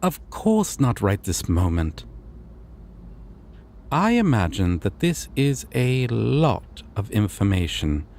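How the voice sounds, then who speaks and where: A man playing a courteous, solemn god of dreams in a fantasy audio roleplay, with formal, deliberate phrasing. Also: lowish voice, very animated, creaky, a little mellow, full character voice. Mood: gracious.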